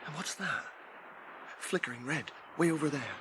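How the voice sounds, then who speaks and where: An actor playing a loyal, grumbling hobbit servant in a radio drama, blunt and homely. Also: male voice, fairly high pitch, expressive, normal speed, noisy background, lightly acted. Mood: glum grumbling. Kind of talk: 1980s British radio drama acting.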